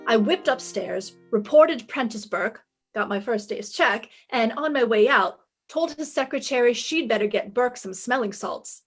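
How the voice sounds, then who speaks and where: A woman's voice: fairly high pitch, lively intonation, measured pace, breathy edge, soft background music, exaggerated voice. A woman playing a sassy, confident private detective in a 1950s-style radio drama, breezy, wry and commanding. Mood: wry narrating.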